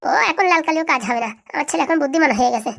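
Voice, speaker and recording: A woman acts a sharp-tongued village wife and doting mother in a cartoon comedy. She is high-pitched and extremely quick, mocking and quarrelsome. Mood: gloating. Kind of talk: comic cartoon dialogue.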